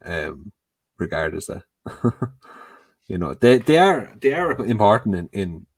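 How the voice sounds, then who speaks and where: A man's voice: sits low, slightly rough, no echo. A young Irish man co-hosting a podcast, chatting casually and laughing through his sentences. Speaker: podcast co-host. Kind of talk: casual chat.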